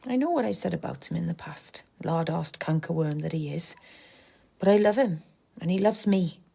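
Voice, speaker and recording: A shrewd working-class woman in a radio play, bit of gravel, sardonic and pleading. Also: lowish voice, normal speed, mellow. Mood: frank. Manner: frank, sardonic, coaxing. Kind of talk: radio drama dialogue.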